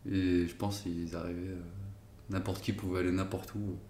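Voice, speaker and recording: Low-voiced man in an echoey room. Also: little pitch movement, moderate pace, warm tone. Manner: hesitant, many fillers and restarts. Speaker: interviewee. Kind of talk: casual spoken testimony. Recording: echoey room, faint noise.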